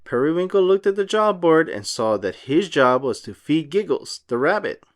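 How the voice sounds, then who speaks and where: Children's read-aloud narrator: expressive storyteller, very lively intonation. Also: mid-range voice, moderate pace. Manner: expressive, sing-song storytelling. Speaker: storybook reader for young children. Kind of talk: animated read-aloud of a children's picture book.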